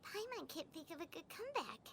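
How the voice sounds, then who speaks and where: A woman voicing a small, childlike, excitable female fairy companion in a video game, with a squeaky voice and a blunt manner. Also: a little fast, slight background noise, full character voice. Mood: unsure, sheepish. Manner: refers to self in third person, blunt. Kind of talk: animated game character acting.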